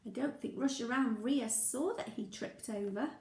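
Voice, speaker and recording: A woman teacher reading a picture story aloud to young children, playful and expressive, with sound effects and questions for the listener. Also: on the high side, normal speed, roomy sound, a little hiss or hum.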